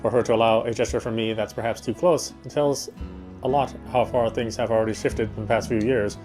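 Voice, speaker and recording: A man's voice: mid-range voice, steady tone, a little fast, a little mellow, lightly acted. An actor playing a tender, self-deprecating young man in a visual novel, introspective and earnest, with guitar music behind him. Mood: tender, reflective. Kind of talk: first-person visual novel narration and dialogue.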